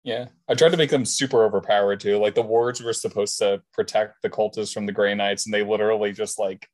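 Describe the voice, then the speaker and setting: Slightly raspy man chatting as podcast guest. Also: lowish voice, steady tone, a little fast. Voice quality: a little raspy. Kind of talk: casual chat.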